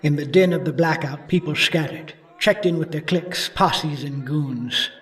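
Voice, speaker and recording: Guy doing moody older noir narrator, boozy n regretful, radio drama, lil higher voice. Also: normal speed, a bit bright, far from the mic, close to an everyday voice. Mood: brooding, regretful. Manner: moody, world-weary.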